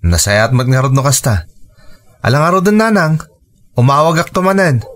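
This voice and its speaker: Mid-pitched young working son played by a man. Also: expressive, normal speed, close to an everyday voice. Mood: warm reassuring. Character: dutiful, reassuring young factory worker son. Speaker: voice actor. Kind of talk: Filipino radio drama acting.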